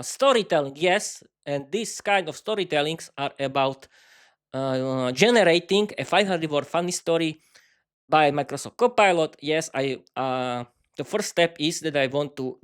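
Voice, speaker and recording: A Slovak-native man hosting a solo podcast, talking unscripted with many restarts, fillers and self-questions. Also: fairly high pitch. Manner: halting, many restarts and fillers, talks to himself. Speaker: podcast host.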